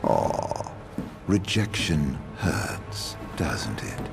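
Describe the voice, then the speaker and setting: A scornful, embittered game villain with a very low, gravelly voice. He stretches out each taunt in contempt while loud music plays. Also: sing-song, very slow, full character voice. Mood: mocking contempt. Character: mocking, embittered male chancellor villain posing as prince. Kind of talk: dramatic video game voice acting.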